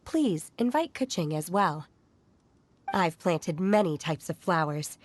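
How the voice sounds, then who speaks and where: A woman playing a reserved young disciple in an English game dub, formal, measured and earnest. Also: on the low side, lively intonation. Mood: kindly insistent. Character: reserved, stiffly polite young female disciple.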